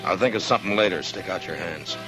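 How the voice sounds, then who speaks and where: A man playing a calm, tough frontier marshal. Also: deep voice, fast talker, over music, close to an everyday voice. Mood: dry. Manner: dry, steady, clipped. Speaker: town marshal. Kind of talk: 1950s radio drama acting. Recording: old radio broadcast.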